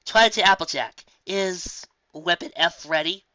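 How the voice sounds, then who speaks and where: Someone voicing a bossy, bright-toned unicorn pony. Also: medium pitch, unhurried, no echo, muffled recording, voice pushed up. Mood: businesslike. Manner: precise, scolding, instructing. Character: bossy, earnest, easily exasperated female unicorn pony.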